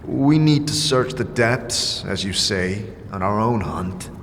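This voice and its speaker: An actor playing a calm, guarded wandering adventurer in a fantasy audio drama, speaking in measured, terse phrases. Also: male voice, on the low side, in a large hall, a little hiss or hum, full character voice. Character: calm, guarded tattooed male wanderer, leading his party.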